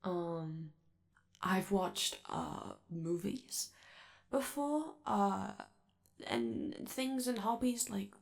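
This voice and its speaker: A woman's voice: mid-range voice, very animated, very slow, creaky, exaggerated voice. A woman playing a friendly, nervous robot alien crewmate in a comforting ASMR roleplay, rambling and hesitant as they reassure the listener. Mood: hesitant, encouraging.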